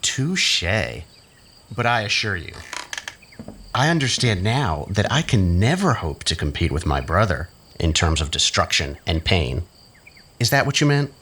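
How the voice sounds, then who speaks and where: A sly male schemer played by an actor with a low voice that swings widely in pitch. He taunts silkily, savoring words with theatrical mockery, as in an audio drama. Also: sing-song, measured pace, sharp tone, a little hiss or hum, exaggerated voice. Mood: mocking. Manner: mocking, theatrical, silky insinuation.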